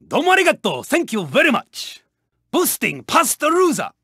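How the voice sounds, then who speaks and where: An actor voicing a proud, intense, honour-bound racing car in a video game, with a Japanese accent, a raspy voice and clipped, formal delivery. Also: male voice, very high-pitched, huge pitch swings, normal speed, sharp tone, no room sound, clean studio sound, exaggerated voice. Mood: confident, triumphant. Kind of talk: video game voice clips, short barks.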